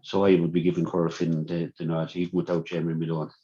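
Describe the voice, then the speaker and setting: A man's voice: low voice, monotone, quick pace, warm tone. A man with an Irish accent giving sports analysis on the radio, repeating words and saying "you know" often. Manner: repetitive, many "you know" fillers. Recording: dull lo-fi sound.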